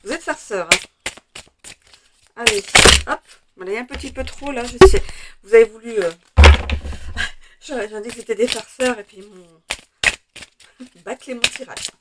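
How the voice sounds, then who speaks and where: Slightly hoarse French woman reading tarot. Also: on the high side, moderate pace, long pauses, very noisy. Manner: chatty, hesitant with many fillers, laughs at jumping cards. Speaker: tarot card reader. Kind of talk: casual weekly tarot reading.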